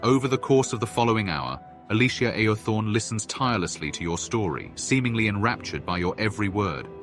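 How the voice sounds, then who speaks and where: A male narrator tells a fantasy game's story in a fairly low voice. His delivery is scripted, like a storybook reading. Soft music plays underneath. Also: lively intonation, brisk.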